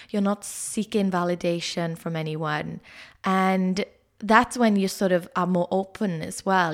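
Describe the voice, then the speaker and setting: Young woman podcast host, croaky from a cold, chatty solo delivery. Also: fairly low pitch. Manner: chatty storytelling, quick asides.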